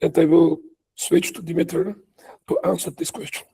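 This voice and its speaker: A man's voice: fairly high pitch, steady tone, normal speed, creaky, mellow, husky. A man, speaking as a company executive answering questions on an earnings call.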